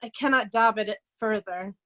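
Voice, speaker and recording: A woman's voice: high voice, expressive, takes it slowly, fairly bright tone, slight background noise, heavily acted. A woman playing a sorrowful young nobleman who feigns a mad beggar, shifting between anguish and singsong madness in a Shakespeare reading. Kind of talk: remote readers' theatre Shakespeare performance.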